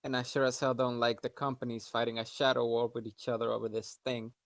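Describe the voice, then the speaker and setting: A man's voice: mid-range voice, little pitch movement, quick pace, gravelly, dry recording. A man playing a tabletop role-playing game, chatting casually and speaking for his character.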